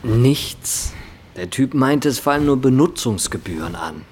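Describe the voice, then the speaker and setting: Actor as a rattled banker, lively pitch. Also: male voice, a little slow, slight background noise, natural voice. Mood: calm, conspiratorial. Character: sceptical, rattled male banker drawn into temptation. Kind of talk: German radio play acting.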